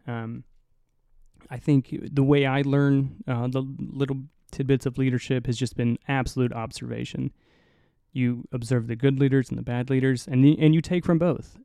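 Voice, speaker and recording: A young man hosting a solo podcast in a low, mumbly voice, rambling and swearing casually, right up on the mic. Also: steady tone, normal speed, warm tone, smooth, no echo, clean studio sound. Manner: rambling, profane, self-mocking. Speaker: podcast host. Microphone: close to the mic.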